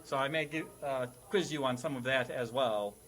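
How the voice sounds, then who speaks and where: A man's voice: medium pitch, moderate pace, fairly bright tone. A man hosting a casual comedic quiz as a teacher, explaining things in a nerdy, wordy, playful way. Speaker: quizmaster host playing a teacher.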